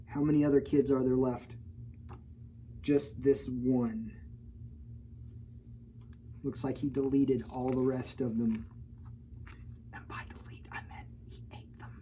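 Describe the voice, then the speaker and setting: A woman reads a children's storybook aloud in a mellow, warm tone, pausing again and again to joke with the kids and point things out in the pictures. Her storytime delivery is playful and teasing, with faint noise in the background. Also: a bass voice, flat delivery, poor audio. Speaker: children's librarian. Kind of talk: storytime read-aloud with chatty asides.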